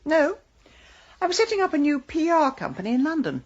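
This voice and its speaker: An actress playing a sharp-tongued, vain middle-aged businesswoman turned village detective in a radio drama, brisk and drily wry. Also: sits high, very animated, highs cut off. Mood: eager, coy.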